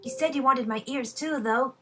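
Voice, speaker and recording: A woman voicing an earnest, plucky young boy musician in a fan audio drama, eager and quick to bristle. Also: high-pitched, over music, natural voice. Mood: eager, inventive. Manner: eager, boyish delivery.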